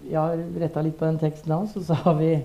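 An older man with a Norwegian accent talking unscripted on a theatre stage, halting as he searches for words. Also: fairly high pitch, flat delivery, dark tone, a little hiss or hum. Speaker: theatre director and co-writer. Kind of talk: unscripted onstage conversation.

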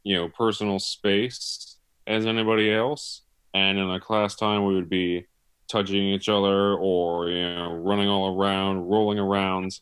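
A man's voice: deep voice, level tone, a little slow, a bit bright, clear, resonant tone. A man in his twenties, a student performer, answering casually in a video-call interview. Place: living room, indoors. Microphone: computer mic on video call.

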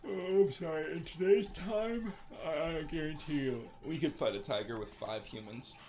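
A man's voice: a little high, normal speed, darkish tone, a little hiss or hum. A man doing casual, rambling gameplay commentary as host of a gaming video, with a sarcastic, joking manner.

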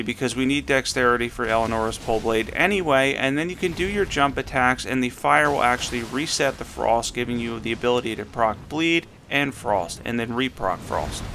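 A man with a hoarse voice from a cold, giving an upbeat commentary on a game build as a channel host. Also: medium pitch, pushed and bright, slight background noise. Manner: upbeat, enthusiastic rundown.

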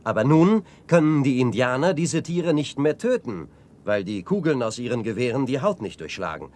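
An actor playing an earnest, decisive young hero in a radio play, explaining and giving directions with urgency. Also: male voice, mid-range voice, sing-song, normal speed, darkish tone, lightly acted.

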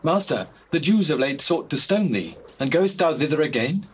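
An anxious disciple in a scripture audio drama. The husky voice is highish and animated, with earnest theatrical delivery. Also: male voice, normal speed. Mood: concerned. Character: anxious male disciple addressing his master.

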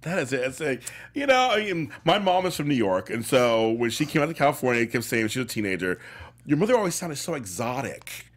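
A man's voice: mid-range voice, very animated, fast talker. A middle-aged man hosting a studio interview, effusive and enthusiastic, speaking into a large mic. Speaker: host. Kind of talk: casual interview chat. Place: podcast studio. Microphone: large studio mic with headphones.

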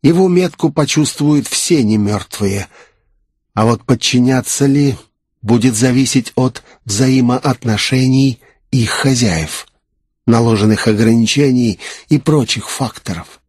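A man's voice: medium pitch, a little slow, a little mellow, slightly rough, no echo, studio quality. A man voicing an assured, composed naga necromancer who explains his schemes in an audiobook.

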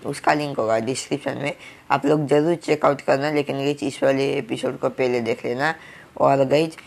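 A young man with a Hindi accent, hoarse from a cold, giving casual game commentary. He keeps stuffing "guys" between his phrases. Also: medium pitch. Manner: filler-heavy, keeps saying "guys". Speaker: gaming YouTuber.

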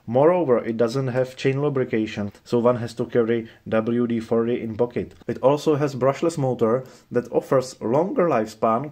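Mellow-voiced man narrating a product review. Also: medium pitch.

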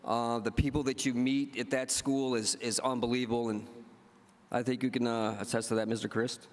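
A man's voice: medium pitch, flat delivery, normal speed. A middle-aged man giving a casual, joking acceptance speech at a podium, telling stories with fillers and restarts and acting out what people said. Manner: rambling storytelling with fillers and restarts, acting out quoted dialogue. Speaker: hall of fame inductee giving acceptance speech. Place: large banquet hall, at a podium. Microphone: podium microphone. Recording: long hall reverb from the room.

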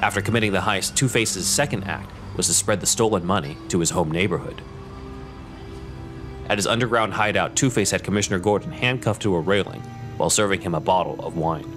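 Male narrator, low pitch, scripted audio drama storytelling, music underneath. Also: brisk. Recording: music behind voice.

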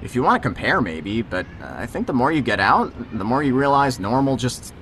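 A man's voice: mid-range voice, sing-song, fairly quick, a bit bright, slight background noise. A young man playing a wry, dry-humored ordinary guy on a date in an audio drama.

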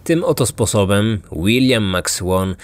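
A man's voice: on the low side, lively intonation, takes it slowly. A man hosting a true-crime podcast, reading a scripted narration with music behind him. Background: background music.

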